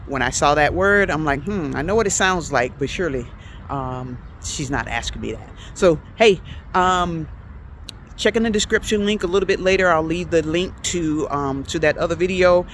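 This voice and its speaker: A woman's voice: deep voice, lively intonation, normal speed, slight background noise. A woman whose first language is English, giving a casual vlog lesson as a language teacher, chatty and hesitant with many fillers, switching between languages.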